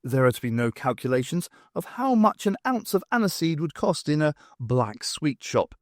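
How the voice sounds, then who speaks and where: A man voicing a brisk, stern woman adventurer in an audiobook, commanding and dryly exasperated. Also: a little high, sing-song, no room sound, clean studio sound. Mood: stern exasperation.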